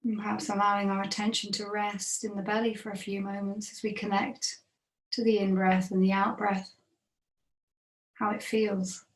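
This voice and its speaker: A woman meditation teacher leading a guided body scan in a gentle, unhurried manner with long pauses. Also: medium pitch, little pitch movement. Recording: roomy, echoing sound.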